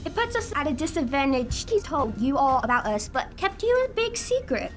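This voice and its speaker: A playful, quick-witted wife, acted by a woman in old-time radio comedy style. Her voice is high and very animated, supportive and teasing, with faint music at times. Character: bright, teasing, supportive wife of a college man.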